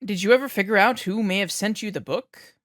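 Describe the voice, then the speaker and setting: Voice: medium pitch, a little fast, fairly bright tone, no room sound, clean studio sound, lightly acted. A performer playing a mysterious late-night paranormal radio show host, speaking in a theatrical, suspenseful way. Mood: musing, mysterious.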